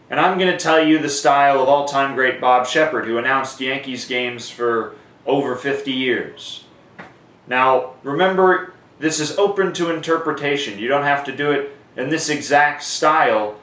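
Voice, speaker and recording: A man's voice: medium pitch, fairly bright tone. A man giving an informal, conversational how-to lesson, explaining things step by step. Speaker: sports announcing instructor. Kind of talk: instructional how-to talk with demonstrations. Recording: echoey room, distant microphone.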